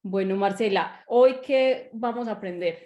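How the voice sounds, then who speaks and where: Woman hosting a webinar on a video call, halting delivery, roomy echo. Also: mid-range voice, dark tone. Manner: hesitant, many fillers and restarts. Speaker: real estate agent, webinar host. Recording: echoey room on video call.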